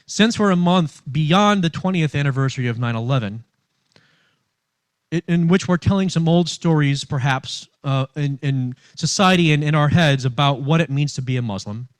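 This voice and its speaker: Male minister preaching, somewhat high voice. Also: dry recording. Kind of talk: sermon read from notes, with long quotations.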